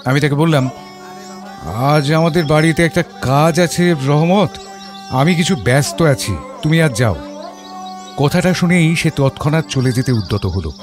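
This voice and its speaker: An actor playing a reflective father who narrates his memories in a measured, literary and expressive audio story style. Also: fairly high pitch, natural voice. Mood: uneasy. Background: background music.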